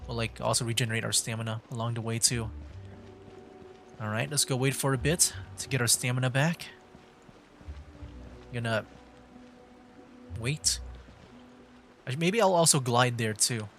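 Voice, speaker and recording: A man with a medium-pitched voice gives casual commentary on a game he is streaming. He rambles and thinks aloud with hesitant phrasing, over faint game music. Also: normal speed, pauses often, a bit bright. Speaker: game livestreamer.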